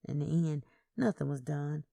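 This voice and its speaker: A woman's voice: sits low, moderate pace, gravelly, mellow, no echo, studio quality. A woman reading a scripted first-person story as its narrator.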